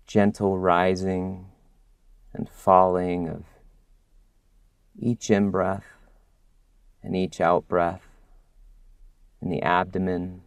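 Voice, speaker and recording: A man leads a guided meditation in a low, level voice. He speaks very slowly and gently, leaving long soothing pauses between short phrases. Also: gravelly, no echo. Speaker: mindfulness instructor. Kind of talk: guided body scan meditation.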